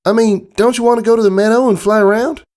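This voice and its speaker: A man's voice: sits high, fairly even intonation, quick pace, darkish tone, pitched above the performer's own voice, lightly acted. A man voicing an anxious little girl pony in a storybook reading, hesitant and coaxing. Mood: worried pleading.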